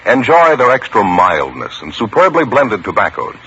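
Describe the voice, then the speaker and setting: Bright, lively vintage radio pitchman. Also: moderate pace. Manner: upbeat, persuasive sales pitch. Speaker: commercial announcer. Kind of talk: 1950s radio ad announcing. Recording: dull old radio broadcast with hiss.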